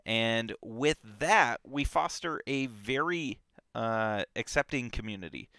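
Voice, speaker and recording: Slow, smooth-voiced man. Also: medium pitch, no echo, studio quality. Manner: relaxed, unhurried, blunt, many fillers. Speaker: co-host of a live book discussion stream. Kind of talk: casual live-stream chat.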